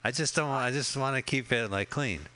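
A man's voice: medium pitch, normal speed, bright, forceful tone. A man co-hosting a podcast, chatting casually and jokingly about a film as it plays, swearing often and rambling. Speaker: podcast co-host. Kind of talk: casual movie commentary chat.

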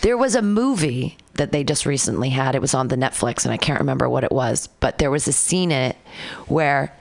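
A young woman hosting a casual talk show, telling stories with big jumps in pitch for quoted voices. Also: animated, a little fast, creaky. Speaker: podcast host. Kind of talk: casual chat.

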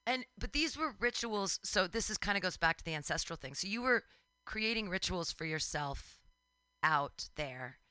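An interview host asking eager, chatty questions and reacting quickly. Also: mid-range voice, expressive, bright, forceful tone, clear, resonant tone, no room sound. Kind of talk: casual interview chat.

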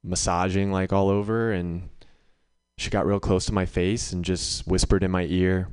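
Male stand-up comedian, low clean voice, conversational storyteller. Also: level tone, moderate pace, darkish tone, clean tone. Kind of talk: live stand-up comedy set.

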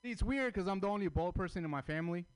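Man from Armenia, stand-up comic, slightly high voice, crude deadpan punchlines. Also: rapid-fire, clear, resonant tone. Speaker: stand-up comedian. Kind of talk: stand-up routine at open mic.

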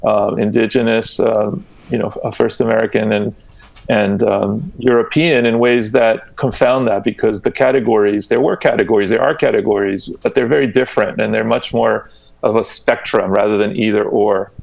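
Gravelly man explaining ideas in conversation. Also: fairly low pitch, fairly even intonation, normal speed, a little mellow, low-quality recording. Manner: explanatory, often checks in with "right?". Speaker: guest researcher.

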